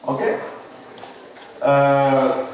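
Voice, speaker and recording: A man, a university physics professor giving a classroom lecture, halting with many fillers and repeated okays. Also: medium pitch, completely flat delivery, darkish tone. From France. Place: large lecture hall. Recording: echoey hall from far off with crowd murmur.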